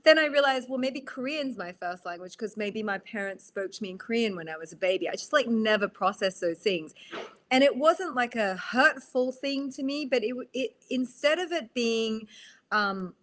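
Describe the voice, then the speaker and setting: An Australian woman from Australia, a guest on a podcast interview, speaking reflectively with many halting restarts. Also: fairly high pitch, expressive, a bit bright. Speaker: guest, actress.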